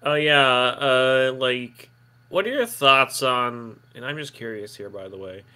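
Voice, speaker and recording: A man's voice: medium pitch, expressive, measured pace, pushed and bright, smooth. A man streamer chatting casually, rambling with lots of fillers and frequent giggles. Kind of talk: casual chat.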